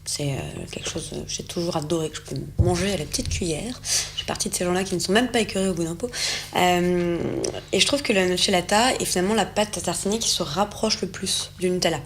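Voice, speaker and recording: A woman's voice: on the low side, quick pace, slight background noise. Chatty young Frenchwoman tasting food. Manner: chatty, enthusiastic, many hesitations. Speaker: co-host of a food segment. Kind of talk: casual tasting chat on a show set.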